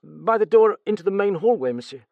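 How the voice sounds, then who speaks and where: A man's voice: high-pitched, expressive, fairly quick, no echo, phone-quality sound, voice pushed up. A man doing a stammering, frightened steward. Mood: nervous. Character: nervous stammering male French servant.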